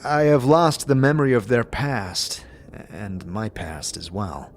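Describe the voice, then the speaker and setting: A man in a fantasy roleplay, moving between narration and dialogue in a halting, tentative manner. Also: lowish voice. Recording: faint background noise.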